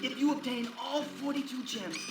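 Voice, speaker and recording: A woman's voice: sits high, normal speed, background noise. A woman voicing a menacing, stuttering video game villain given to manic giggles, with theatrical delivery. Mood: manic, threatening. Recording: echoey, distant game audio over music.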